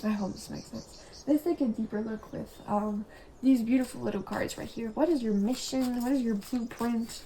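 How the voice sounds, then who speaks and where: A young woman whose first language is French, giving a casual tarot reading in a chatty, giggly, rambling way and sometimes dropping to a soft whisper. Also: on the high side, steady tone, normal speed, slight background noise. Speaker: tarot reader.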